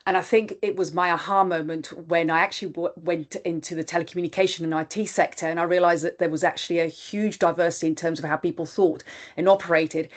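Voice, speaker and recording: A woman's voice: fairly low pitch, fairly even intonation, quick pace. A woman whose first language is not English, speaking openly and reflectively as a panelist in a webinar over a video call. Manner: reflective, open, with frequent fillers.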